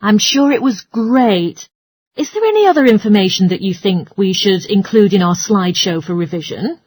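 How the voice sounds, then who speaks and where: A woman reading scripted listening test dialogue and instructions in an articulate, orderly way, with a slightly husky voice. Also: mid-range voice, animated, normal speed, a little mellow, no echo. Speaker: listening test voice actor and announcer.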